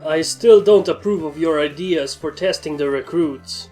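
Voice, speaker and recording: A woman's voice: sits low, unhurried, dark tone, faint music behind. A woman voicing a stern, stoic pony who questions and disapproves, as part of a story reading. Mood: disapproving, puzzled.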